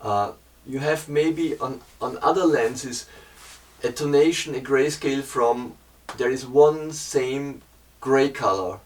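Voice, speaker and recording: A man with a German accent, a German native speaker, casually explaining his photography in hesitant English, pausing often to find words. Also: medium pitch, far from the mic.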